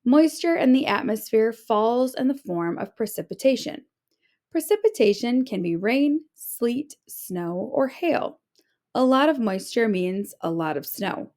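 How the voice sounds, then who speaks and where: A woman reading an informational children's book aloud like a teacher, with clear, deliberate phrasing. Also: on the high side, expressive, a little mellow.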